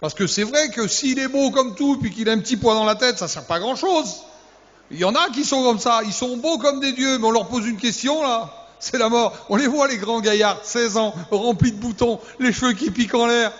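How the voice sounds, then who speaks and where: High-voiced preacher in an echoey hall. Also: male voice, moderate pace, a bit bright. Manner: humorous, teasing, full of rhetorical questions; repeats key words for emphasis. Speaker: youth pastor. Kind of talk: sermon to young people. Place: church hall. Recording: echoey hall, dull lo-fi sound.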